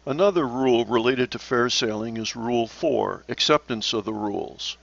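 A man narrating scripted slides as an instructor, in a measured, explanatory manner. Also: medium pitch, gravelly, fairly bright tone, lo-fi sound. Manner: measured, instructional, poses questions to the learner. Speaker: sailing instructor.

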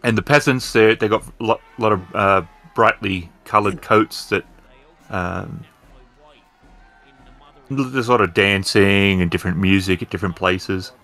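A man narrating an improvised tabletop roleplaying game as storyteller, describing scenes in a halting way. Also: fairly low pitch, fairly bright tone, faint music behind.

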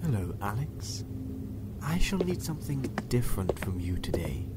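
Actor as low-voiced, coldly menacing doctor. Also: male voice, big pitch swings, very noisy. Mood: cool, condescending. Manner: condescending, measured, at times hushed. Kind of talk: dystopian audio drama.

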